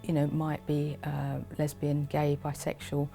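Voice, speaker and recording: A woman with a low, dark voice explains her support work in interview style. There is clear music in the background. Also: monotone, a little slow. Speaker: support service worker.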